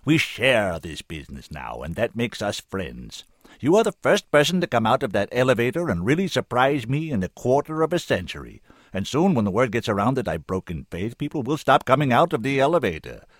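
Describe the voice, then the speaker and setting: A man voicing an old senator in an audiobook story, weary, commanding and gravely kind, speaking slowly and deliberately. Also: low voice, sing-song, dry recording. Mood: thundering, commanding. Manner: slow, deliberate, thundering when roused.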